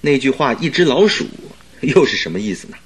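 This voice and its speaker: Husky, eager detective's companion. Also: male voice, medium pitch, big pitch swings, fairly quick, poor audio. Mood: puzzled, curious. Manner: inquisitive, chuckling, exclamatory. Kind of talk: Mandarin radio drama acting.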